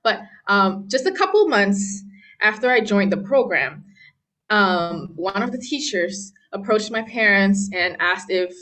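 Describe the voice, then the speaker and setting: A woman's voice: mid-range voice, expressive, a little slow, a bit bright, low-quality recording. Chatty young woman from Malaysia. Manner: chatty, many fillers, laughs often. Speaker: guest pianist. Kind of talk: casual interview talk.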